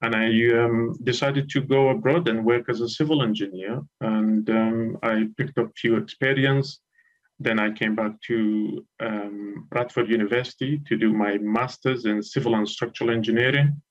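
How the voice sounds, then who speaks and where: A man in his thirties from Iraq, a guest lecturer telling his story on a live TV interview over a video call, measured and hesitant with frequent ums. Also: mid-range voice, steady tone, muffled recording. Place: home room with curtains.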